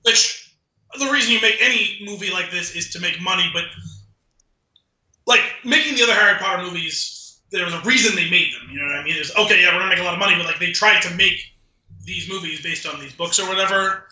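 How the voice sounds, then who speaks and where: A man's voice: high-pitched, fast talker, pushed and bright. A man co-hosting a podcast, giving his opinions in casual conversation, recorded in an echoing room. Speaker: podcast co-host.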